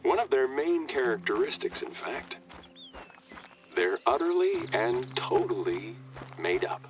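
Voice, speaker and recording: An actor playing a friendly, playful warden in a video game, explaining things in a chatty, informal way. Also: male voice, medium pitch, very animated, measured pace, distant mic, music in the background. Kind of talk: scripted video game dialogue.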